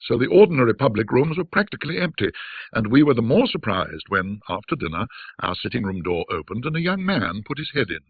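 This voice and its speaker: A man with a husky voice narrating a classic ghost story in a measured, theatrical, reflective manner. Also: mid-range voice, sing-song, no room sound, phone-quality sound.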